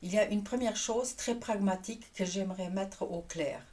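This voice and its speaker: A woman with a Luxembourgish accent, a native Luxembourgish speaker, introducing herself on her channel as a tarot reader and pausing often to find her French words. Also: mid-range voice, dark tone. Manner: hesitant, searching for French words with restarts. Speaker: tarot reader and energy worker. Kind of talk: casual YouTube introduction.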